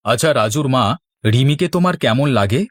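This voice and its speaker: A man voicing a worried, mild middle-aged village father in a cartoon, speaking in a measured, earnest way. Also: mid-range voice, expressive, dry recording, clean studio sound, natural voice. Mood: earnest, hopeful. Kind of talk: scripted Bengali cartoon dialogue.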